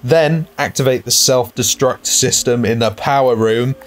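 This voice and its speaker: A man's voice: mid-range voice, a little slow. A man co-hosting a let's-play, speaking in an informal, conversational way. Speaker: gaming show co-host. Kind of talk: casual let's-play chat.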